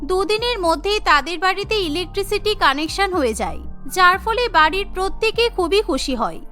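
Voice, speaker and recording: A woman's voice: extremely high pitch, pushed and bright. A woman narrating a scripted cartoon story.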